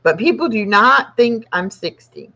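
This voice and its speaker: A woman's voice: on the high side, expressive, unhurried, bright, forceful tone. Chatty woman in her sixties. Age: 60s. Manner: chatty, rambling, laughs often. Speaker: channel host. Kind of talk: casual live chat. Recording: dull lo-fi sound.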